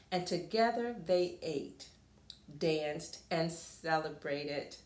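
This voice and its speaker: A woman reading a picture book aloud expressively to children, with explanatory asides and questions in a deliberate manner. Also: fairly low pitch. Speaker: children's storybook reader. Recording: roomy, echoing room sound.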